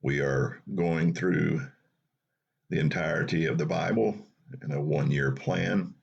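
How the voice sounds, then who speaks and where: Gravelly deep-voiced man reading scripture. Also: highs cut off. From North Carolina. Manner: unhurried, plain reading with small restarts. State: low bass morning voice. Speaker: Bible study host.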